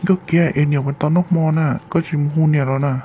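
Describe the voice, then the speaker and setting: An actor voicing a man in an animated family drama, in an amateur cartoon style. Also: a little high, fairly quick, natural voice. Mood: conversational. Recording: dull lo-fi sound, faint noise.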